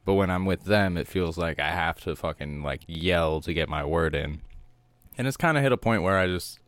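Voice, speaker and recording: Soft-spoken deep-voiced man. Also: no echo. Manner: calm, measured, reflective. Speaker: podcast co-host. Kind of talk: casual chat.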